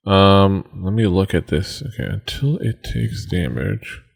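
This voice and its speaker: A man running a tabletop game as game master, pausing often to look up rules. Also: deep voice, vocal fry, slightly rough. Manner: hesitant, lots of ums while looking up rules.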